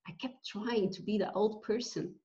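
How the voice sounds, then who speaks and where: A woman from Belgium in her forties, a guest telling her story with pauses and fillers over a video call from home. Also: medium pitch, mellow. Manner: earnest, reflective, with many pauses and fillers. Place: bright room at home. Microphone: video call webcam. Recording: dull lo-fi video call audio.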